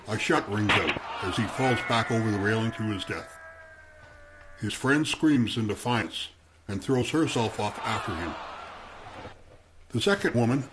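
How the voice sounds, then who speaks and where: A man narrating a radio drama in a steady, measured tone. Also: fairly low pitch, low-quality recording. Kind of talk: scripted narration.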